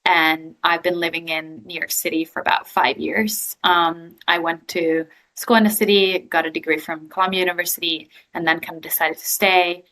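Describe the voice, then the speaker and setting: Bright-voiced Italian woman, chatty. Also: on the low side, little pitch movement, normal speed, hardly any pauses, poor audio. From Italy. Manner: chatty, frequent ums and likes. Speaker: guest, growth equity investor. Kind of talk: casual interview answers.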